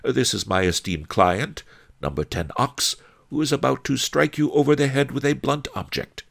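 A man's voice: medium pitch, normal speed, creaky, a bit bright, voice pushed up. A man voicing a sly old master in a storybook, speaking sweetly and with mock-formal politeness. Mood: polite menace.

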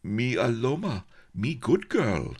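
A man's voice: mid-range voice, big pitch swings, measured pace, vocal fry, fairly bright tone, pitched above the performer's own voice, full character voice. A man voicing a vain, haughty young woman in a comic adventure story, mixing mock-archaic speech with schoolgirl slang. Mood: dramatic.

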